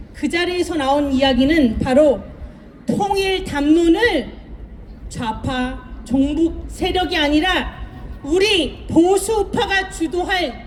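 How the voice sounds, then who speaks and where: A woman's voice: extremely high pitch, a little hiss or hum. A woman lawyer giving an impassioned, emphatic speech to a crowd at an outdoor rally. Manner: rousing, emphatic, addresses the crowd.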